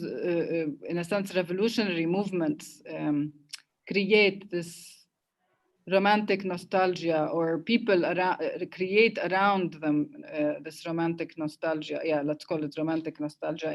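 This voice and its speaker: A woman's voice: on the low side, unhurried. A woman hosting a casual online conversation, speaking reflectively with hesitations and restarts. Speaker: host.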